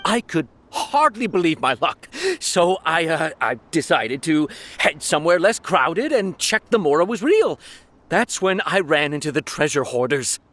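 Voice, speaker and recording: An actor playing a nervous, cornered young man who stammers and hesitates in an animated video game style. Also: fairly high pitch, a little slow. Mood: earnest, excitable.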